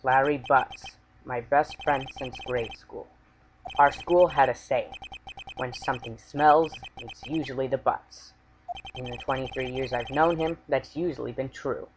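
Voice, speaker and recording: A man's voice: medium pitch, fairly even intonation, measured pace, a little hiss or hum, natural voice, poor audio. A young man playing a nervous, earnest rookie defense attorney in a video game fan dub. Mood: wry, resolute. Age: young adult. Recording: voice under background music.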